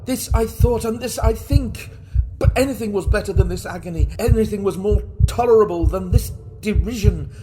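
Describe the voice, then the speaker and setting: A man playing a mad, guilt-racked murderer, telling his horror story in a theatrical, intense and deliberate manner. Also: high-pitched, mellow, soft background music, natural voice, rough recording. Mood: frantic, hysterical. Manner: theatrical, deliberate, intense, broken into short phrases. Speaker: reader of a classic horror story. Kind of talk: dramatic first-person storytelling.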